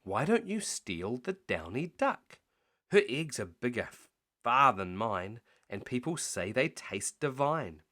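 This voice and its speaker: A man acts out a fat hen in a bedtime story. She is crafty and persuasive, coaxing slowly with lively pitch. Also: exaggerated voice. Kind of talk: storybook character voice.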